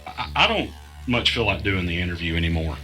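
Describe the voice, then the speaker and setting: A man's voice: sits low, expressive, fairly quick, bright, forceful tone, soft background music, rough recording. A man playing a frazzled, suspicious man hounded by a conspiracy, tense and weary in naturalistic audio drama dialogue.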